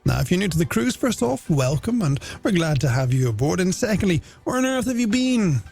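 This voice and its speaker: Animated middle-aged Irish radio man, gravelly. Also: sits high, fairly quick. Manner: playful, self-deprecating, addresses listeners as friends. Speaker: radio host and presenter. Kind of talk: chatty late-night radio show presenting with listener messages. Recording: radio broadcast with music bed underneath. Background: music.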